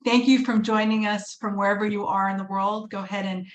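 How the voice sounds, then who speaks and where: A woman's voice: medium pitch, level tone, fairly quick. Upbeat woman teaching a webinar. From Uganda. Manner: upbeat and encouraging, with frequent fillers and restarts. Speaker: workshop presenter and fundraising instructor. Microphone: video call. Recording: echoey room with faint ambient noise.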